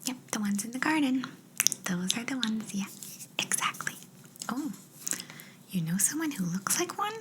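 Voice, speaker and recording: A woman's voice: a little high, lively intonation, moderate pace, pauses often, heavy background noise. A woman whispering breathily and playfully as a quirky job interviewer in an ASMR roleplay, close to the microphone. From Canada. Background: writing sounds.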